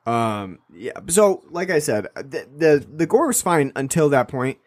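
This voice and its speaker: A man's voice: a little high, very animated, dry recording. A man co-hosting a podcast, chatting casually and sarcastically about a film, rambling with frequent fillers. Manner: rambling, sarcastic, quick mock voices. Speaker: podcast co-host. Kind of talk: casual film review chat.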